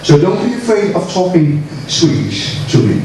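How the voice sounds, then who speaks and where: A man's voice: a little high, very animated, normal speed. A man from Copenhagen with a Danish accent giving an informal, irreverent conference keynote to an audience. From Copenhagen, Denmark. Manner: irreverent, joking, sweary. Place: echoey indoor conference room. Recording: echoey room from far off, faint noise.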